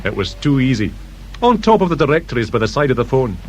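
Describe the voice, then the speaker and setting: An actor playing a keen, slightly cocky detective sergeant with a British accent, eager yet polite, in radio drama style. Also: male voice, mid-range voice, big pitch swings, fast talker, darkish tone, natural voice. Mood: keen, reporting. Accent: British English. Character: keen, cheeky male detective sergeant, deferential to his boss. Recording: hissy old radio drama recording.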